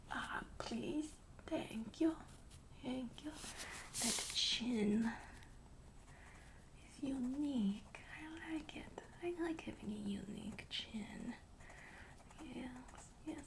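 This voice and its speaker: A woman playing a pretentious, eccentric female artist in a whispered roleplay, with a put-on foreign accent that slips, speaking in a haughty, deadpan way. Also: high-pitched, slow pace, pauses often, noisy background, exaggerated voice. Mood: haughty, whispery.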